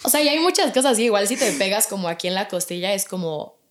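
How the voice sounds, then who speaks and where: A young woman whose first language is Italian, talking as a guest on an interview show. She speaks quickly and loosely, stacking fillers and repeating herself as she laughs her way through stories, at an ordinary pitch for a woman. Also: lively intonation, a little hiss or hum. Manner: fast talker, many fillers and repeated "sí". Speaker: podcast guest. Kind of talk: casual interview chat.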